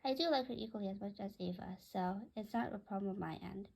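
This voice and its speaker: A woman's voice: medium pitch, fast, gravelly, darkish tone, breathy edge. A woman reading a story aloud, occasionally stumbling over words and correcting herself. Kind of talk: read-aloud story narration.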